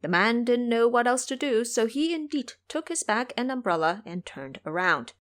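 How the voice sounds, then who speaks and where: A woman's voice: on the high side, animated, normal speed, a bit bright. A woman narrator delivering scripted storytelling for an audio drama.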